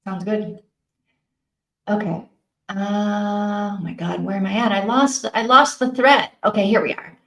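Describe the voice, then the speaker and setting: A woman hosting a casual livestream, recorded in a roomy, echoey space. She talks at a middling pitch in an expressive, chatty way. She thinks aloud and reacts with interest as she addresses her viewers. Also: unhurried, creaky. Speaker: host of a live reading-sprint stream.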